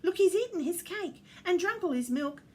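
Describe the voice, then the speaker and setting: Woman as a high-pitched, dismayed little boy. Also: animated, normal speed. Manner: crying out. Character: dismayed small boy on Christmas morning.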